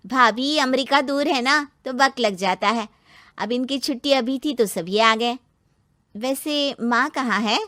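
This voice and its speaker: A brisk sister-in-law acted by a woman with a very high voice. She is matter-of-fact and pointed, in dry, close storybook dialogue. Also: a bit bright, dry recording. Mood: cheerful. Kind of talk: animated moral story dialogue.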